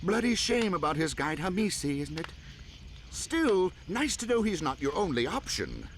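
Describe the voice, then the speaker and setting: A man's voice: sits high, wildly swooping pitch, moderate pace, a little hiss or hum, heavily acted. A man playing a hearty, effusive British hunting guide as dialogue in a video game. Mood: chatty, earnest. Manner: effusive, exclamatory, old-fashioned chummy phrasing.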